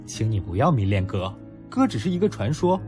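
A man performs a young male student in an audio drama, nostalgic yet proud and teasing. His low voice moves expressively between reflective narration and dialogue. Music plays clearly behind him. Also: big pitch swings, fairly quick, a little mellow. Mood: boastful teasing. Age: young adult. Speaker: lead actor and first-person narrator.

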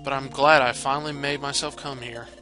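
Man voicing an eager, bright little mouse creature. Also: medium pitch, fairly quick, faint music behind, natural voice. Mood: earnest excitement. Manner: earnest, excitable. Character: eager, friendly young male electric mouse creature.

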